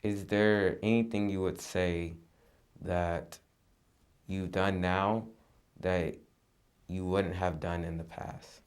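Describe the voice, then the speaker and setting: A young man, a student interviewer, asking questions in a slow, halting way. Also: low voice, little pitch movement, smooth.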